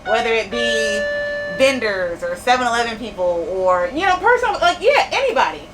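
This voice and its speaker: A woman's voice: on the high side, big pitch swings, normal speed, music in the background, low-quality recording. A woman in her thirties, a business owner talking candidly in a casual interview, often repeating phrases and laughing easily. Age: 30s. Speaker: store owner interviewed as guest.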